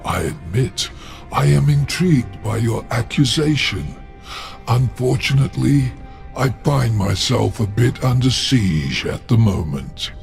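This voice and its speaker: An actor playing a proud, cunning demon lord in a video game, speaking in a courtly, grandiose way. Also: male voice, mid-range voice, animated, measured pace, vocal fry, soft background music. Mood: haughty, amused. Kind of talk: video game cutscene acting.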